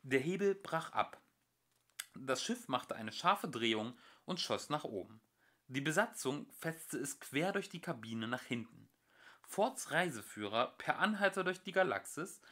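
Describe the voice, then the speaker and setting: Lively young man reading an audiobook. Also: medium pitch. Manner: lively, expressive storytelling. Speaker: audiobook reader. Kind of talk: home-made audiobook narration. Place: home recording.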